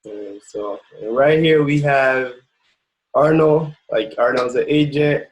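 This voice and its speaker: A man, a pro basketball player and trainer, casually introducing a guest with lots of "like" and pauses. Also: mid-range voice, poor audio. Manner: hesitant, filler-heavy.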